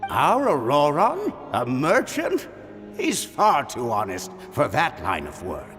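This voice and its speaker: An actor playing a breezy, wry male villager in a video game, teasing in a playful, drawn-out way. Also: mid-range voice, huge pitch swings, fairly bright tone, long reverb tail, soft background music, full character voice.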